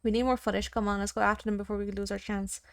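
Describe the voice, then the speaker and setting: A woman's voice: medium pitch, flat delivery, very fast, gravelly, smooth, no room sound, studio quality, lightly acted. A woman voicing an earnest young female monster-hunting video maker in a visual novel. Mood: urgent, determined. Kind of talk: visual novel character read-aloud. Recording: music behind.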